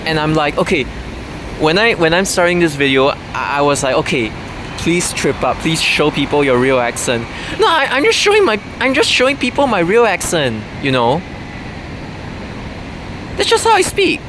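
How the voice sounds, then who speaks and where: A man's voice: a little high, wildly swooping pitch, normal speed, noisy background. A man talking casually to camera in an unscripted, rambling way, full of fillers and restarts. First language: English. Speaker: vlogger.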